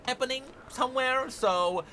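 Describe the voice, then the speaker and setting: A man giving casual, conversational commentary as a game lore video creator, explaining things in an animated way. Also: extremely high pitch, normal speed, a little hiss or hum.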